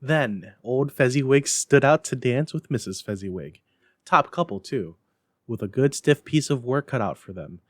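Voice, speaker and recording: A man reading a classic story aloud as narrator, in an expressive, measured, dramatic style. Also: medium pitch, dry recording, clean studio sound. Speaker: narrator, library staff reader.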